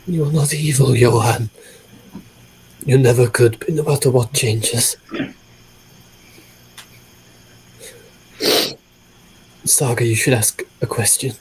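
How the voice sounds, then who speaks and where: A man plays a tender, loyal adventurer in a fantasy tabletop drama. His mid-pitched voice is mellow and extremely breathy, earnest and gentle throughout. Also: a little slow, low-quality recording. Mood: reassuring. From Britain. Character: devoted, tender male adventurer comforting a friend. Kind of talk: improvised tabletop roleplay drama.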